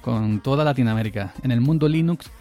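A man in his forties talks through a live stream in a loose, rambling way, doubling back on his words and chuckling between thoughts. His voice sits at an everyday middle pitch and is picked up on a desk mic through an audio interface, with faint music playing underneath. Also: brisk, dark tone. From Granada, Andalusia, Spain. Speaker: podcast host on a live stream. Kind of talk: casual live-stream chat. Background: faint background music.

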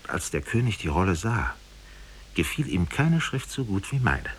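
A man's voice: low-pitched, big pitch swings, moderate pace, slight background noise. A man narrating a fairy tale in solemn, dramatic 1950s radio style with formal diction.

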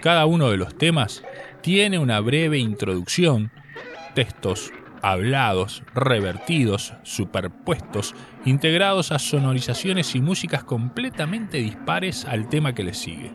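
A man with a Uruguayan Spanish accent reads scripted radio narration as a segment host, in a measured, literate, explanatory way. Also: mid-range voice, big pitch swings, fairly bright tone, a little hiss or hum.

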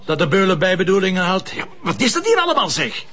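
An actor playing a headstrong, defensive police commissioner in a crime drama, with a Flemish accent and a colloquial, insistent way of talking. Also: male voice, sits high, very animated, fast talker, highs cut off. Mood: exasperated. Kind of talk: naturalistic TV crime drama dialogue.

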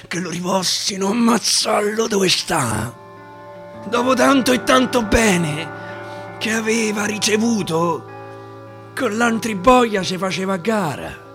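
Male stage storyteller, Roman accent, dramatic dialect recitation, very varied pitch and slow delivery over guitar. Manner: dramatic, repeating phrases for emphasis. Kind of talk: theatrical recitation of dialect verse. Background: acoustic guitar.

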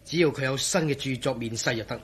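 An actor with a Hong Kong Cantonese accent playing an excitable, sceptical friend in a radio drama, animated and argumentative. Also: male voice, medium pitch, fast talker, slight background noise, close to an everyday voice. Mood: indignant.

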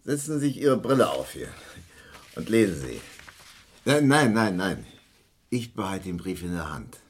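Cagey mercenary witness, very low voice, swinging intonation, sly and stubborn, vintage crime radio drama. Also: male voice, big pitch swings, moderate pace, slightly rough, exaggerated voice. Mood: sly, confiding. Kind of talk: German crime radio play acting. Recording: vintage radio play recording.

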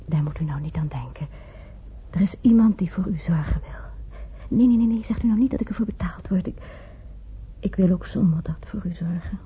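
An actress playing a devoted, timid twenty-five-year-old carer in a radio play, soft, hesitant and deferential, often dropping toward a whisper. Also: female voice, on the low side, a little fast, husky. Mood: tender, pleading. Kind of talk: radio play acting in Dutch. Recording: old radio play, dull muffled sound.

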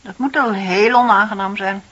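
An actress in a radio play voicing a sceptical, unimpressed woman confidante with a dry, ironic, measured way of questioning. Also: mid-range voice, fairly even intonation, a bit bright, husky. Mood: dry, knowing. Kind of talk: Dutch radio play acting. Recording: dull, lo-fi radio play recording.

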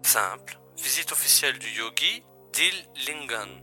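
A man's voice: mid-range voice, lively intonation, a little slow, bright, forceful tone, soft background music. A man acting a wry agency boss who gives an urgent, matter-of-fact briefing in a science-fiction audio drama. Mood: wry, explaining.

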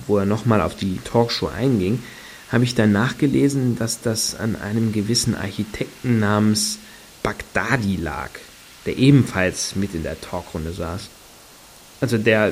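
A man's voice: lowish voice, gravelly. An actor playing a wordy, wry and hesitant male fan in a German radio play. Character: hesitant, sardonic male fan of a washed-up satirist. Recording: hissy background noise.

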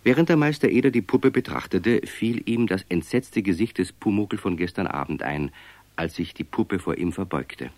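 A man's voice: sits low, expressive, normal speed, darkish tone, no echo. A man reading scripted narration for a children's radio story.

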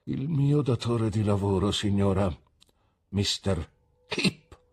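A man voicing a pompous, grandiloquent gentleman down on his luck, speaking in flowery, theatrical phrases. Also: on the low side, takes it slowly, slightly rough.

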